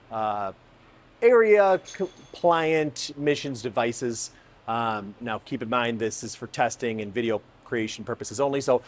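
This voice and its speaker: Male car-video host, medium pitch, emphatic storyteller, enthusiastic and informal in explanation. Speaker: host of a car exhaust testing channel. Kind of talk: scripted narration and casual explainer to camera.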